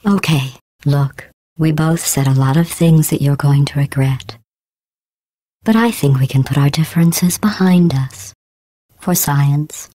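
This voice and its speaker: A woman voicing a cold, sarcastic female AI computer that runs a test facility, speaking in a smooth, clipped, synthetic-sounding deadpan with passive-aggressive menace. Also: low voice, no echo. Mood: menacing. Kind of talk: video game voice clips.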